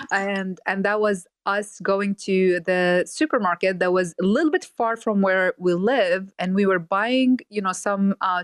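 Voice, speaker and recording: A woman's voice: mid-range voice, no room sound. A woman from Nazareth, a business consultant, answering interview questions in a conversational way with frequent fillers.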